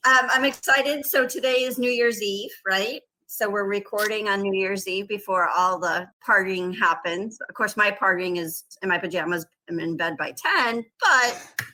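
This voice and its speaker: A woman's voice: mid-range voice, moderate pace, pushed and bright, rough recording. A woman co-hosting a podcast, chatting in an upbeat, casual way. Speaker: podcast co-host.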